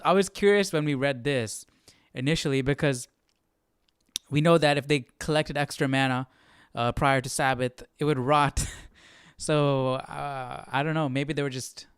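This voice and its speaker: A man's voice: mid-range voice, clean tone, no room sound, clean studio sound. A man hosting a live Bible study, thinking aloud in a casual, questioning, conversational way.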